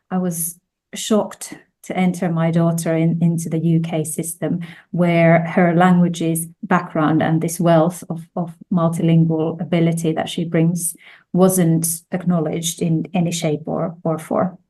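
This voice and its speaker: A woman, by birth, gives a measured conference presentation over a video call. Her voice sits on the low side and sounds mellow and dark. She often restarts her phrases as she goes. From Finland. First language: Finnish. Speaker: conference speaker.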